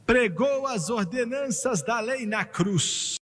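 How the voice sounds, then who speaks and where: A man preaching a sermon emphatically, slowing and rising on key phrases and joking with the congregation. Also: very high-pitched, animated, a bit bright. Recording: long hall reverb.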